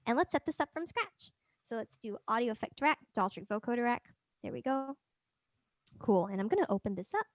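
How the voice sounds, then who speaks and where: A woman with a fairly high voice leads a music software workshop. She talks informally and thinks aloud while demonstrating. The sound is dry and close, with little room in it. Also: very animated, a little fast, no room sound. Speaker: presenter of a music production workshop.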